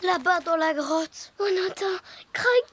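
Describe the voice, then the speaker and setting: Very high, excitable little cartoon boy. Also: slow, heavily acted. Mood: alarmed, dramatic. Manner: exclamatory, dramatic. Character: excitable, easily frightened young boy cartoon character, Tony. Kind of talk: animated children's cartoon dub. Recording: loud cartoon music behind the voice.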